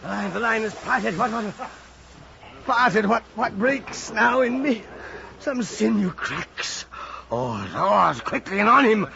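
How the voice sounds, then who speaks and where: An actor playing an obsessed, defiant old whaling captain, declaiming in grandiose, theatrical style in an old-time radio drama. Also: male voice, sits high, expressive, slow, vocal fry, pushed and bright, exaggerated voice. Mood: straining, frantic. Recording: muffled, noisy old radio drama recording.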